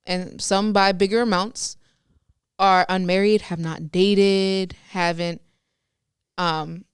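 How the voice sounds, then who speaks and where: Crisp, chatty young woman. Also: medium pitch, little pitch movement, slow, no echo, studio quality. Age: young adult. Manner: chatty, rambling, self-interrupting. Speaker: podcast co-host. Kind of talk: casual chat.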